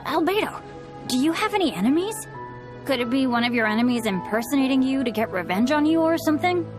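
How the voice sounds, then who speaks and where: A woman's voice: high-pitched, animated, quick pace. A woman voicing an earnest, friendly, self-blaming teenage boy adventurer in a video game. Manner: earnest, boyish. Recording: music behind the voice.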